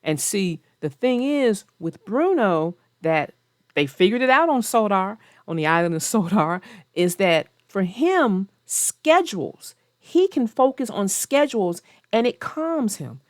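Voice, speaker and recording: Animated woman storyteller, dry close sound. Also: mid-range voice, a little slow, no room sound. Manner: theatrical, addresses young readers directly. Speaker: children's story narrator and host. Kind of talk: expressive read-aloud and chat for young children.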